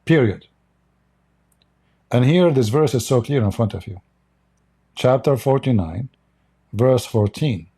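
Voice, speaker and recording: A man's voice: mid-range voice, lively intonation, measured pace, long pauses, warm tone, slightly rough. A man whose first language is Arabic, giving a blunt, emphatic commentary monologue and lecturing the listener.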